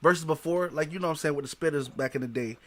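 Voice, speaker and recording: A man hosts a relaxed podcast interview with a slightly high voice. His talk is loose and full of slang and filler phrases. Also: rapid-fire, a little hiss or hum. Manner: laid-back slangy talk, many "you know what I'm saying" fillers. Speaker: podcast host. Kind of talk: casual interview.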